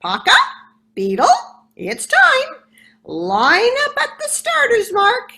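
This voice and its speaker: A woman voicing a bossy parrot who squawks loudly and announces a race. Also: huge pitch swings, slow pace, creaky, a little hiss or hum, full character voice. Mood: commanding. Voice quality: shrill, squawking. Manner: loud, commanding announcements. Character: loud squawking bird, a bossy race judge.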